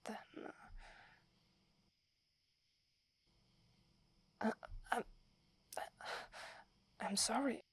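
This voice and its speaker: A woman's voice: fairly high pitch, dry recording. Whispering, devoted young werewolf girl, acted by a woman, Italian accent. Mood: flustered, apologetic. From Italy. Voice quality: breathy whisper. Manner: hesitant, halting, deferential. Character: devoted, shy young female werewolf serving her alpha. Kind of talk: whispered ASMR roleplay.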